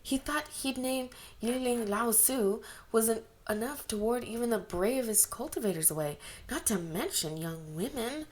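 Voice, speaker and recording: A woman's voice: a little high, animated, moderate pace, few pauses. A woman narrating a story as an amateur reader, expressively acting out the dialogue. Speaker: amateur audiobook reader of fan fiction. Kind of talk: scripted story narration with character voices.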